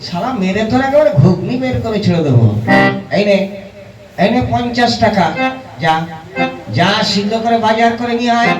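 An echoey, distant recording with loud music behind carries a man voicing a high-pitched village husband puppet. He is bossy and amorous, curses and wheedles in broad comic style. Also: animated, normal speed, pauses often. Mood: angry scolding. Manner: exclaiming, cursing, wheedling. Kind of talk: comic puppet-show acting.

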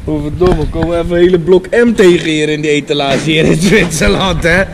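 A man's voice: fairly high pitch, fairly even intonation, brisk, gravelly, noisy background. A young man vlogging casually from a car on a road trip, talking in a laid-back, slangy way into a handheld action camera. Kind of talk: casual vlog chat. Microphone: action camera held at arm's length.

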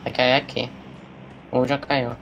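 A gravelly young man chats fast and jokingly while gaming, often repeating words. His headset mic picks up a faint hiss behind him. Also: mid-range voice, muffled recording. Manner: excitable, jokey, repeats words rapidly. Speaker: streamer. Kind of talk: casual gaming chat.

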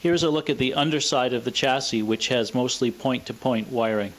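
A man presenting scripted technical narration in a measured, matter-of-fact manner. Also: mid-range voice, steady tone. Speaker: presenter of a vintage radio demonstration.

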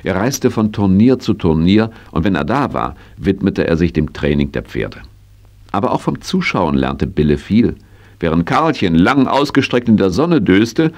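An actor narrating a children's horse story in a 1980s audio drama, telling it expressively. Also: male voice, sits low, expressive, normal speed, a little mellow. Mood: storytelling.

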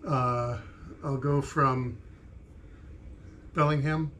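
Slow-talking man in his late fifties. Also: medium pitch, steady tone, a bit bright, a little hiss or hum. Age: late 50s. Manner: slow, unhurried, with many uhs. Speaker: vlogger. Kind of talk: casual vlog talk to camera. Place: large cluttered home garage. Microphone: camera across the garage.

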